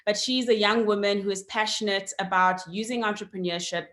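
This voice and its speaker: A woman's voice: medium pitch, steady tone, fairly quick, a bit bright. A woman, hosting an online interview and welcoming her guest, with frequent ums along the way. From South Africa. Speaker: host.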